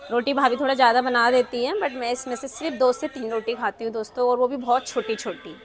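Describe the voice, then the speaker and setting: A woman with a fairly high voice hosts a casual daily vlog. She is chatty and addresses her audience directly, like friends and family. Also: steady tone, quick pace. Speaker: vlogger filming her daily home routine.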